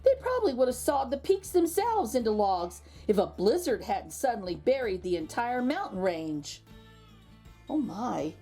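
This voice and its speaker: High-pitched, animated woman reading to children. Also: moderate pace, soft background music. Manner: animated, with exclaimed asides to young listeners. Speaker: children's read-aloud host. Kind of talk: expressive picture book storytelling.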